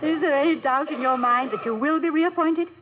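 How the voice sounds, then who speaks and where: An actress playing a college president's affectionate, teasing wife in a gentle, playful manner, in 1950s radio style. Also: female voice, high-pitched, a little fast, natural voice. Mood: fond, amused. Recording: dull, noisy old radio recording.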